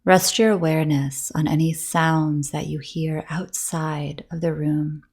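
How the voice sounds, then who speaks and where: A woman leading a guided meditation as a yoga nidra teacher, speaking softly and soothingly with breathy gentleness and long pauses between phrases. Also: low voice, flat delivery.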